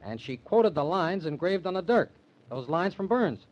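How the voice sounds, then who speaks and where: A man's voice: fairly high pitch, very animated, moderate pace, darkish tone, muffled recording. An actor playing an earnest, confident young man in old-time radio drama style, intense and assertive. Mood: insistent.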